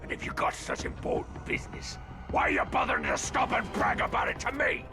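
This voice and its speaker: An actor playing an irritable orc grunt in a video game, sneering and taunting in a gravelly voice. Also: male voice, a little fast, over music, natural voice. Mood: indignant, sneering. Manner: indignant, taunting. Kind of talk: video game cutscene acting.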